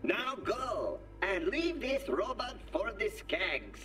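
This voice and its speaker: A man's voice: sits high, big pitch swings, slow, distant mic, heavily acted. A man voicing a shifty, scheming game character who talks theatrically, heard over game music. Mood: sly.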